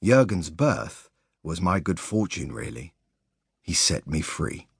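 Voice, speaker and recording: Male narrator for non-fiction audiobook: lowish pitch, highly varied intonation, measured storytelling, close dry sound. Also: big pitch swings, gravelly, husky, no room sound. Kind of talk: scripted narration of a sports biography.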